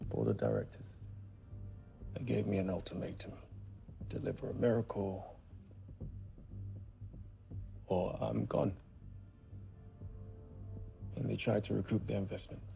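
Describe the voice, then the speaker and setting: An actor playing a weary, pressured racing team boss in a video game, speaking gravely and quietly. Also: male voice, on the low side, animated, normal speed, long pauses. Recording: music behind dialogue.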